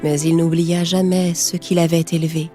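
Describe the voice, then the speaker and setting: A woman's voice: fairly low pitch, flat delivery, normal speed. A woman storyteller reading a scripted children's tale in narration style. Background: music.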